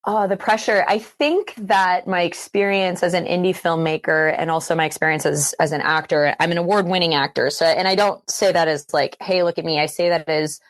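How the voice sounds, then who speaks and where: A woman's voice: lowish voice, steady tone, fairly quick, few pauses, no room sound. A woman from Western Canada with a Canadian accent, speaking earnestly and thoughtfully as a filmmaker guest in a reflective interview.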